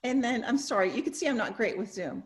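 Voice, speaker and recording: A woman with a fairly high voice hosts a video-call discussion. She talks in a chatty, effusive, informal way, as a professor would when leading a group. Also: animated, quick pace. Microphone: video call microphone.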